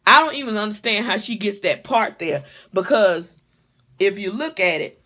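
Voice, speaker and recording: Middle-aged woman, mid-pitched voice, casual home-vlog reviewer, blunt, exasperated and freely cursing. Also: lively intonation, normal speed, bright, forceful tone. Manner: blunt, sweary, comically exasperated. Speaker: vlogger reviewing a wig. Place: small living room or bedroom at home, indoors. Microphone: phone or webcam at arm's length.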